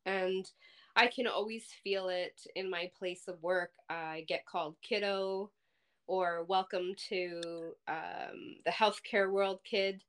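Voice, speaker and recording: A woman's voice: on the low side, fairly even intonation, slow pace, clear, resonant tone. A woman in her thirties with a young-sounding voice, chatting casually and playfully as a podcast co-host. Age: 30s. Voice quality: youthful-sounding. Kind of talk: casual chat.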